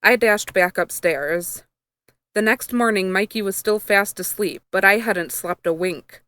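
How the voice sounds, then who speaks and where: A woman's voice: medium pitch, unhurried, pushed and bright, no echo. A woman narrating a first-person story, reading from a script as she goes. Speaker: fan fiction reader.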